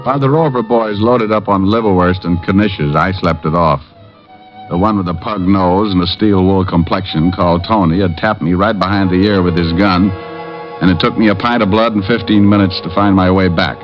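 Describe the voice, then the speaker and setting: An actor playing a wisecracking private eye who narrates and quips in a dry, sardonic way, in 1950s radio drama style. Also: male voice, deep voice, moderate pace, close to an everyday voice. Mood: narrating. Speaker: narrator and lead. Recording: dull lo-fi old radio broadcast with faint music.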